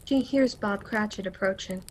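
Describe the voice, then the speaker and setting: A woman's voice: mid-range voice, moderate pace, slight background noise. A woman narrator reading scripted stage directions for a radio play, recorded remotely. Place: remote home recording over video call.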